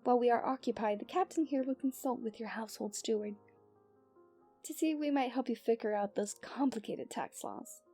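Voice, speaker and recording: Woman acting a mellow, genteel fairytale princess. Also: on the high side, a little fast, faint music behind. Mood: polite, slyly menacing. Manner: formal, genteel fairytale phrasing with breathy sighs. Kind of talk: scripted romantic ASMR roleplay.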